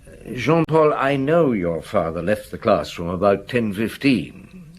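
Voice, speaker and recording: A man playing a patient, probing chief inspector in a radio drama, questioning deliberately with musing hums. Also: fairly low pitch, animated, breathy edge. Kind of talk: radio drama acting and first-person narration.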